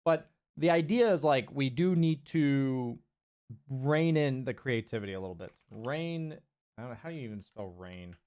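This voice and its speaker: A man's voice: mid-range voice, animated, moderate pace, darkish tone, clear, resonant tone, lo-fi sound. A man coaching a player one-on-one in a loose, chatty way, with lots of fillers and the odd swear word. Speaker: game coach. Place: streaming from home.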